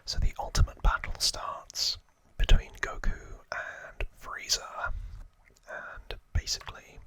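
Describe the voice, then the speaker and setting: A man hosting ASMR, whispering informal trivia narration in a hushed, rambling way with casual asides. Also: slow, no room sound, poor audio. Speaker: ASMR host.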